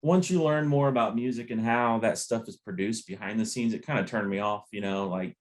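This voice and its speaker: A man's voice: fairly low pitch, a little fast. A man hosting a podcast, chatting casually about music in a laid-back, rambling, slangy way. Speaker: podcast host.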